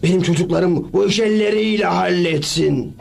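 Cartoon dub: agitated scheming official, high creaky voice, indignant and urgent manner. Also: male voice, normal speed, darkish tone. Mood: urging.

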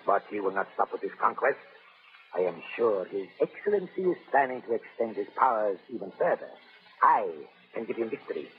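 An actor playing a scheming male scientist who offers a deadly plague, with a smooth, insinuating manner, from a tinny 1930s radio broadcast. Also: fairly low pitch, lively intonation, fairly quick.

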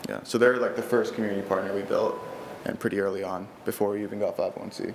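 A man's voice: on the low side, fairly even intonation, fast talker, creaky. A teenage boy presenting his robotics team to a school board at a lectern, eager and earnest, with frequent fillers and restarts. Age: teens. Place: large school library meeting room, indoors. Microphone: standing at a lectern microphone. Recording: echoey room with faint background noise.

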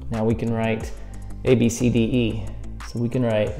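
A man in his thirties talks through a hands-on tutorial with easy, upbeat energy, explaining each step as he goes. His voice sits in an ordinary middle pitch. He is recorded indoors on a lapel mic, with faint music playing softly behind him. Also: flat delivery, normal speed. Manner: upbeat, explains step by step while handling props. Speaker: escape room tutorial host. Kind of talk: friendly how-to demonstration. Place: indoors at a table against a plaster wall.

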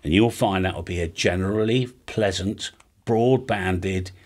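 A man's voice: low-pitched, unhurried, darkish tone. A man in his fifties giving an informal hands-on tutorial in a conversational, explanatory way, often checking in with tag questions. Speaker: amateur radio video host. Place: small home studio with drums and guitars.

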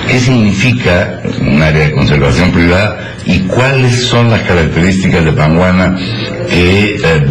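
A man's voice: low voice, rough recording. A man co-hosting a radio studio interview, asking direct, inquisitive questions. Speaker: radio co-host.

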